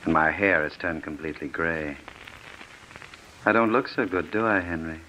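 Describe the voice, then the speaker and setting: Bitter, low-voiced, sardonic ex-convict. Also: male voice, pushed and bright. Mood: coldly sardonic. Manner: deliberate, sardonic. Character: bitter, gray-haired ex-convict, menacingly polite former partner. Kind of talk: 1940s radio drama acting. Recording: noisy old radio recording.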